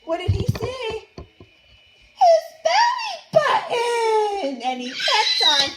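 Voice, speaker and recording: High, lively mom reading aloud. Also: female voice, very slow. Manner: sing-song, coaxing, asks the child questions. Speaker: parent reading to her young child. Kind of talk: animated picture-book read-aloud. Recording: roomy, noisy home recording.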